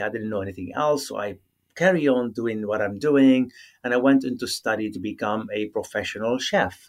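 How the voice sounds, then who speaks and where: A middle-aged man from Lebanon with a Lebanese accent and Arabic as his first language, answering interview questions earnestly and haltingly as he searches for words. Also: lowish voice, gravelly. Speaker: yoga teacher, guest.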